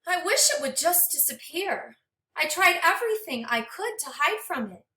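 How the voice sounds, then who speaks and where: A high-pitched, very animated woman reads a storybook to children in a dramatic, teacherly way. She moves between narrating and speaking directly to her young listeners. The sound has a clearly echoey room around it. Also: normal speed. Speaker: teacher reading a picture book aloud. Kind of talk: interactive children's read-aloud with questions to listeners. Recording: echoey room, distant microphone.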